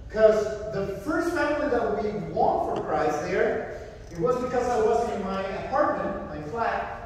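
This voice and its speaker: A man's voice: high-pitched, expressive, a little slow, darkish tone, slight background noise. A young man from El Salvador with a Salvadoran Spanish accent, a missionary preaching a sermon and telling stories while pacing and gesturing. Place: large church fellowship hall. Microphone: far camera, no mic. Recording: echoey hall from far off.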